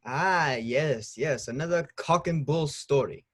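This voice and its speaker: An actor playing a pompous, dismissive government minister at a trial, impatient and scoffing in a table read. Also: male voice, on the high side, unhurried, fairly bright tone. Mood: scornful. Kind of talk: amateur online table read of a screenplay.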